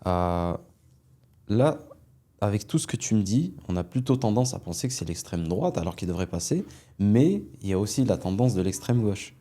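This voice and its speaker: A man hosting a podcast, asking casual interview questions in a soft-spoken way with many brief affirmations. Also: fairly low pitch, expressive, moderate pace. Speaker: podcast host.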